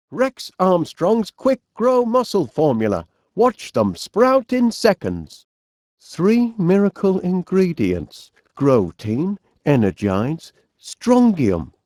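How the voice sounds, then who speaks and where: A cheerful, eccentric middle-aged inventor from a cartoon video game. He has a high voice with a Northern English accent that swings widely up and down. He talks unhurriedly and chummily, musing aloud in genteel, old-fashioned turns of phrase. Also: darkish tone, no room sound, studio quality, exaggerated voice. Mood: intrigued, determined. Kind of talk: animated video game character voice lines.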